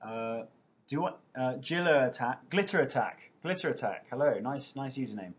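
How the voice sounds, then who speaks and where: Man, 30s, husky, mid-pitched, casual and self-mocking webcam Q&A. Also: animated, moderate pace. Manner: playful and self-mocking, reading out questions. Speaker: actor answering viewer questions. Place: indoors at home. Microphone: webcam mic.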